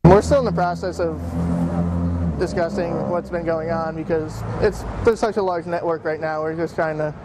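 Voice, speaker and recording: A man's voice: on the high side, fairly even intonation, moderate pace. A man answering casually as an interviewed protester. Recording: noisy outdoor street with traffic.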